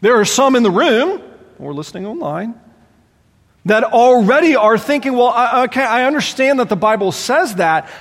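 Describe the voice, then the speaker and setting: Animated pastor in a reverberant church. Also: male voice, extremely high pitch, normal speed. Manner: animated, exclaiming, acts out listeners' doubts. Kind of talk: sermon. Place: large church sanctuary. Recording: long hall reverb.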